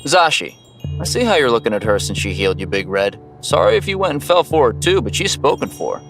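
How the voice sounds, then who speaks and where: A man's voice: mid-range voice, very animated, a little fast, gravelly, music in the background. A man playing a cocky, hot-headed young superhero in an audio comic drama, brash, slangy and confrontational.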